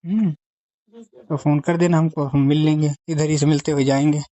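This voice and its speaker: A man's voice: a little high, level tone, moderate pace, warm tone, no echo, highs cut off. A man with an Uttar Pradesh Hindi accent, talking casually and agreeably in a recorded phone conversation. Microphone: phone call.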